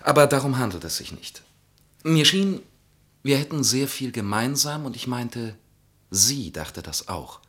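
Earnest young artist, husky voice. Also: male voice, medium pitch, sing-song, unhurried, a bit bright, heavily acted. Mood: earnest, defensive. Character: earnest, hesitant, self-important young artist. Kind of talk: German radio play acting.

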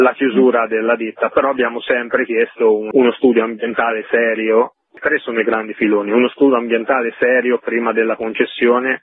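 A man's voice: medium pitch, breathy edge. A man, an activist being interviewed on radio and explaining a list of demands. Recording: tinny phone-like radio line.

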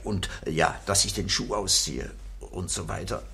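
Husky, plaintive postman. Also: low-pitched, a little slow, sharp tone, full character voice. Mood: pleading. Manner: plaintive, pleading. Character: injured, plaintive male letter carrier with a twisted ankle. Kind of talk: German radio play acting.

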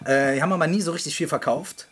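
A man's voice: fairly high pitch, fast. A man talking casually and enthusiastically in slangy speech, as co-host of a music show. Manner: enthusiastic, colloquial. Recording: music playing behind the voice. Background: loud music.

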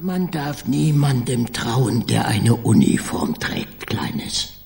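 An actor playing a gruff, cocky but protective robber in a radio play, speaking bluntly and colloquially. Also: male voice, mid-range voice, sing-song, takes it slowly, darkish tone, heavily acted. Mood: cocky, low.

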